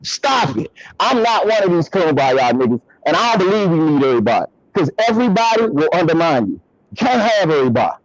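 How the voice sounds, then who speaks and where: A man's voice: sing-song, fast, lo-fi sound. A man hosting a heated commentary debate over a call, emphatic and profane, cutting in to make his point. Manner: emphatic, profane, interrupts, pitch jumps when worked up. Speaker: host of commentary channel.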